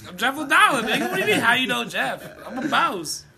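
A man's voice: very high-pitched, very animated, fast talker, creaky, crowd noise. A man on a podcast panel trading casual, profane comedic banter.

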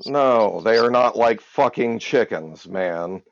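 A slow-talking man from the United States with a low, gravelly voice. He swears constantly and stays laid-back. The recording is dull and dry. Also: lively intonation, slight background noise. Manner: crude, profane and laid-back. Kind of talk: casual chat.

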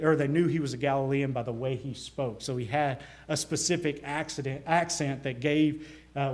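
Man, Southern accent, pastor, sermon, earnest, mid-pitched voice. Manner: earnest preaching with rising emphasis and quiet drops. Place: church.

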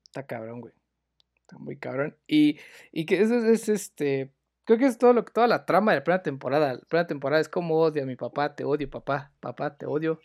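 A man with a Mexican accent, co-hosting a casual chat about a TV series, full of slang and trailing into low mutters. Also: expressive, a little fast, vocal fry, darkish tone. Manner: slangy, says "güey" a lot, drops to a mutter. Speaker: podcast co-host.

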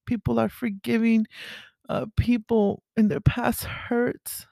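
Slow, raspy woman podcaster. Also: a little high, flat delivery, mellow, no echo. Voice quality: raspy early-morning voice. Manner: halting, earnest, with throat clearing. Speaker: podcast host. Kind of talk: personal faith podcast monologue, confessional.

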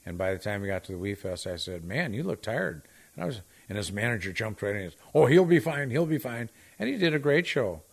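Low-pitched male podcast co-host, easygoing storyteller who pokes fun at himself. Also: big pitch swings, fast talker, vocal fry. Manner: jokey, self-deprecating storyteller. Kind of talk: casual chat.

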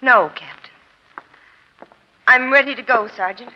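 An actress playing a guarded, defiant young stenographer in a 1940s radio crime melodrama. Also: female voice, a little high, measured pace, noisy background, natural voice. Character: plain, stubborn young female stenographer, guarded and upset. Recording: muffled old radio recording.

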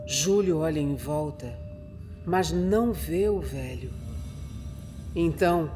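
A man narrating a scripted horror radio drama in a measured, dramatic manner. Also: on the high side. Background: music.

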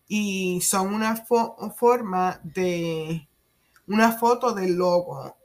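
A Puerto Rican woman in her thirties hosting a solo podcast, speaking haltingly with fillers and soft laughs. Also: fairly low pitch. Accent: Puerto Rican Spanish. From Puerto Rico. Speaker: podcast host.

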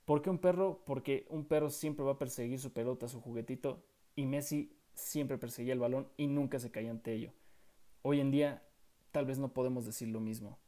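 A man's voice: medium pitch, fairly even intonation, a little fast, warm tone. A young man hosting a sports commentary show, reading from a script in an opinionated way and asking many rhetorical questions. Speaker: sports podcast host.

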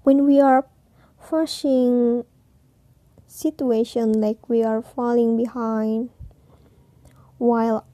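A woman's voice: a little high, steady tone, slow, pauses often, dark tone, no room sound. A woman in her twenties with an Indonesian accent, hosting a casual personal podcast in hesitant, non-native English. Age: 20s. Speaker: podcast host.

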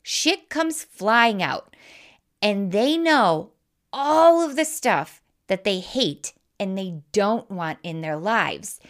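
A woman with a Midwestern American accent hosting a podcast monologue, speaking candidly and informally with emphatic, persuasive delivery. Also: mid-range voice. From Wisconsin, American Midwest. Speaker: life coach, podcast host.

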